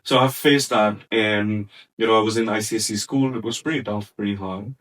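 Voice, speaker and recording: A young man from India, Punjabi his first language, talks in a low voice, rambling through his thoughts with plenty of fillers. He sits back from the mic in a roomy, echoing space. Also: steady tone, fairly quick, fairly bright tone, distant mic. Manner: rambling, many fillers and restarts. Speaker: podcast co-host. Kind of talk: casual chat.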